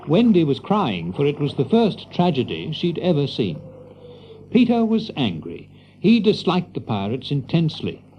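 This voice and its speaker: A man's voice: on the high side, huge pitch swings, normal speed, lo-fi sound, slight background noise. A man narrating a children's story in a dramatic storybook style. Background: music.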